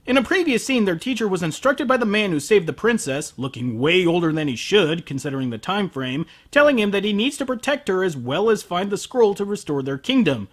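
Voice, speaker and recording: A man's voice: high-pitched, sing-song, brisk, hardly any pauses, a bit bright. A man delivering a scripted comedic review as host, sarcastic, exasperated and prone to swearing. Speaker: host of an anime review show.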